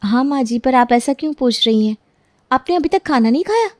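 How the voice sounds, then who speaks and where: A woman's voice: high-pitched, animated, a little fast, a little mellow, no echo, clean studio sound. A woman voicing a dutiful young daughter-in-law in a family story, aggrieved but polite to her elders. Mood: caring, obliging. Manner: complaining yet polite to elders.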